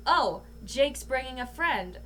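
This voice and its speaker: A woman's voice: high voice, animated, slow pace, a bit bright. A woman talking casually as a podcast guest, outspoken and emphatic, swearing freely. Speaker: podcast guest, voice actor and comedian. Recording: faint hum and background noise.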